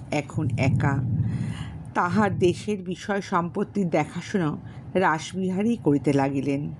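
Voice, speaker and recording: A woman narrating a classic novel as a storyteller, formal and measured, pausing between short phrases. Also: fairly low pitch, darkish tone, slight background noise. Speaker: storyteller and channel host. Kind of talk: audiobook narration of a classic novel with spoken introduction.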